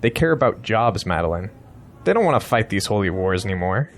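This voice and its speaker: A man's voice: fairly low pitch, big pitch swings, brisk, a little hiss or hum. A man playing a blunt, persuasive political strategist in an audio drama, insistent and lecturing.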